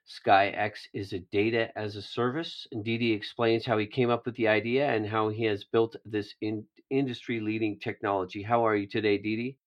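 A man's voice: fairly low pitch, fairly even intonation, normal speed, few pauses. A man hosting a business podcast, reading an upbeat scripted intro and sponsor message, then asking interview questions. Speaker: podcast host.

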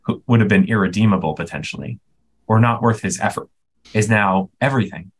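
A man co-hosting a podcast, thinking aloud with halting restarts as he searches for words. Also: fairly low pitch, husky. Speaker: podcast co-host. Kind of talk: casual discussion podcast.

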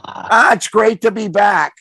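A man in casual chat, telling a quick anecdote. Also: high-pitched, vocal fry, sharp tone, slightly rough, no room sound.

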